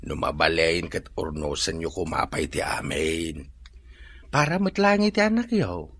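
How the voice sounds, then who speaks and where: A low, husky old father with an Ilocano accent, gentle and solemn in a radio melodrama. His halting speech swings widely in pitch as he counsels with concern. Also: very animated, no echo, full character voice. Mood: pleading, earnest. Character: gentle, concerned elderly father comforting his grown daughter. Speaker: actor in radio drama. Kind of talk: melodramatic Filipino radio drama acting.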